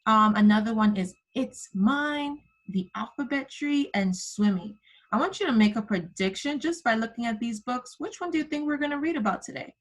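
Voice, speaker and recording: A woman's voice: on the high side, animated, moderate pace. A woman kindergarten teacher leading an interactive online lesson for young children over a video call, encouraging them with simple prompts and endearments. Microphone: computer microphone on a video call.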